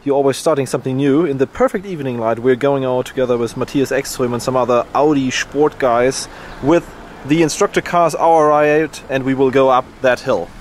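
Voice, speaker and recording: A man's voice: medium pitch, brisk. A man narrating his car road trip, enthusiastic, with slightly phrasing. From Germany. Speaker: car vlogger. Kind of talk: casual travel vlog narration. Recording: camera audio with car and outdoor noise.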